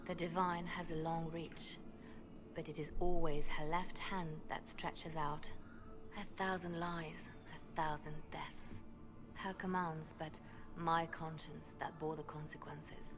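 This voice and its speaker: A woman's voice: sits low, flat delivery, takes it slowly. An actress playing a ruthless but wounded woman spymaster in a fantasy video game. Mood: hurt, reflective. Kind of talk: dramatic fantasy game acting. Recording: loud game music under voice.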